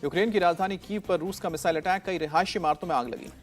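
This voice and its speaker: A man with an Indian accent working as a news anchor, reading scripted, rapid-fire headlines. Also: high-pitched, soft background music.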